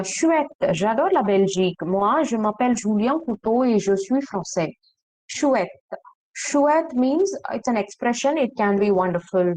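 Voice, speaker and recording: A woman teaching a French class, slowly repeating phrases and checking that students follow. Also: medium pitch, a little mellow, low-quality recording. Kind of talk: online language class.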